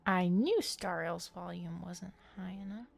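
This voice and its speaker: A woman's voice: lowish voice, unhurried, creaky. A woman streaming a game with casual, playful, joking live commentary.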